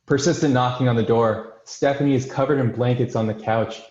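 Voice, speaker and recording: A man's voice: mid-range voice, steady tone, brisk, a little mellow. Man narrating in a roomy, dull recording. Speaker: host and stage-direction narrator. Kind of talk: scripted introduction and screenplay narration. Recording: roomy, dull-sounding microphone.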